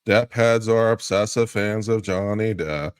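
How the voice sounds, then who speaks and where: A man appearing as a guest commentator, giving a deliberate, theatrical reading of a lawsuit. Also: fairly low pitch, smooth, no room sound, clean studio sound. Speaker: guest commentator on a legal show.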